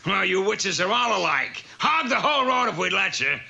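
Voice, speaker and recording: A man's voice: high-pitched, very animated, normal speed, creaky, sharp tone, slightly rough, dull audio, full character voice. A man voicing a grumbling, hot-tempered potter in a comic cartoon, blustering and muttering grouchily. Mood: grumpy, blustering. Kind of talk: comic cartoon character acting.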